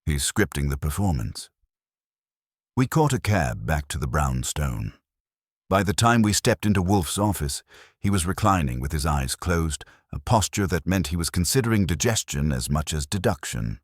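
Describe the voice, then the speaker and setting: A man's voice: low-pitched, sing-song, normal speed, dry recording, clean studio sound. A man reading a first-person detective story as an audiobook narrator.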